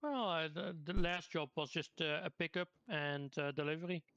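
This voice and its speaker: Smooth male voice on dull, dry audio. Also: on the high side, normal speed, a bit bright, dry recording. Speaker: player in online roleplay. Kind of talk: casual improvised roleplay chat.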